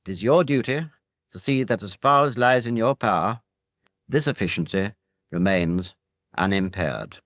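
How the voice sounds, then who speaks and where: A British man narrating a 1940s instructional film in a formal, measured, authoritative way. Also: on the low side, a bit bright, dry recording, clean studio sound. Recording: 1940 film soundtrack, digitally cleaned.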